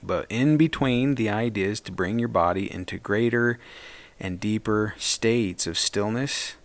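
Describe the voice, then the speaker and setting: A man leading a guided meditation as a therapist, speaking in a gentle, soothing and reassuring way. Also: on the low side, measured pace.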